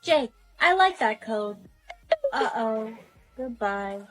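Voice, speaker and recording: A woman's voice: a little high, animated, slow, a bit bright, dry recording, soft background music. A woman voicing a naive, curious robot girl in a short skit, plain, literal and questioning. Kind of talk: short comedic skit acting.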